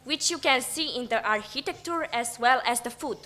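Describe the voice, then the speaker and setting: Teenage girl reading carefully, bright high voice. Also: animated. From Eritrea. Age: teens. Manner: careful, halting reading with self-corrections. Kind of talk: reading a prepared piece.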